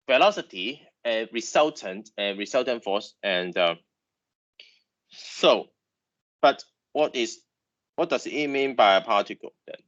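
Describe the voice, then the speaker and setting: A man's voice: medium pitch, a bit bright, lo-fi sound. A man whose first language is Chinese, lecturing online about engineering, who halts and repeats words with many fillers.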